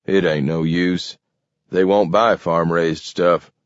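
A man voicing a weary, stubborn middle-aged farmer who speaks in gruff rural dialect. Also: low voice, steady tone, slow, dry recording. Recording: dull lo-fi recording.

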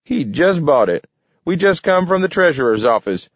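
Man putting on a shrill, spry old grandpa. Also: very animated, moderate pace, dry recording, muffled recording. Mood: triumphant. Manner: folksy, triumphant, crowing. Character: spry, gleeful old grandfather, feisty and folksy.

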